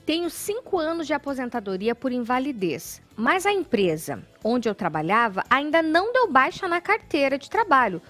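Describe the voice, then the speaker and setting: A Brazilian woman TV host reading viewers' questions out loud, adding playful comments. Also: high voice, normal speed, few pauses, soft background music. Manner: lively, playful asides when reading. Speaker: TV show host. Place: TV studio.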